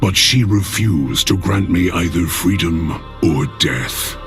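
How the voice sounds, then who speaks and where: An actor playing a weary, ancient demigod in a game cutscene, speaking gravely and with brooding measure. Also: male voice, sits low, takes it slowly. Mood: weary, frustrated. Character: weary, solemn, ancient male demigod of time. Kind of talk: dramatic video game cutscene narration. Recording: music under the voice.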